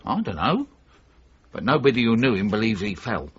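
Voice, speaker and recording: A husky small-time getaway driver in a radio crime drama, blunt and wary. His pitch swings about as he defends himself gruffly. The recording is a dull, slightly muffled old broadcast. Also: big pitch swings, moderate pace. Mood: guarded, insistent.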